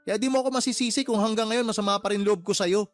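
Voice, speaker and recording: A man's voice: high voice, fairly even intonation, quick pace, lightly acted. A man acting a resentful, hardworking younger brother in a radio drama, indignant and accusing toward his relatives. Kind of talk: radio drama dialogue in colloquial Tagalog.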